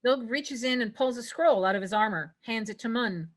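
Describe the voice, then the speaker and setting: A woman's voice: fairly high pitch, normal speed. A woman narrating a screenplay, reading out scripted stage directions at a table read.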